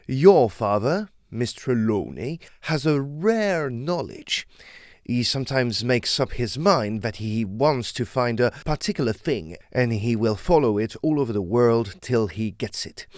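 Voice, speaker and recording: An actor playing a learned, secretive explorer of Egypt in an audio drama, formal and emphatic in manner. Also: male voice, mid-range voice, no room sound, clean studio sound, close to an everyday voice.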